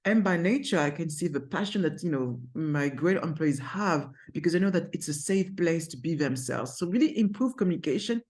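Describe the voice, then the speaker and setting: A middle-aged agency founder with a strong French accent, a native French speaker, giving energetic, emphatic business advice to camera from an office, with frequent restarts. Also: medium pitch, a little fast, few pauses.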